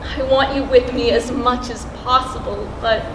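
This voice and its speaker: Echoey noisy stage rec, young woman playing candid steady teen girl in a school play, slightly high voice. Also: moderate pace, close to an everyday voice. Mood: earnest, serious. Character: candid teenage girl student with cancer, steady. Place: stage in a large room.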